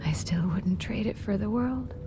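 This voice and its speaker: An actress playing a gentle dying wife reading a sorrowful farewell letter in a video game, softly and haltingly, at times in a whisper. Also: female voice, medium pitch, very noisy, close to an everyday voice. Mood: tender resignation. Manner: soft, breathy, halting, sometimes whispered. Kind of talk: dramatic video game voice acting, letter monologue. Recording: game audio with clear background music. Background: game soundtrack music.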